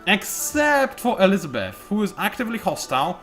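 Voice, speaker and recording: Let's-play host, male, fairly high voice, chatty and self-mocking, faint music under him. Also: big pitch swings, fairly quick, fairly bright tone. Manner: chatty, joking and self-mocking, thinking aloud. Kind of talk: casual gameplay commentary.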